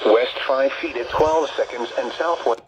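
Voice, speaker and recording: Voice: mid-range voice, moderate pace, lo-fi sound. A synthetic-sounding announcer reading a scripted marine forecast impersonally over a radio speaker. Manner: impersonal, formulaic. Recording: very noisy radio broadcast replayed in room.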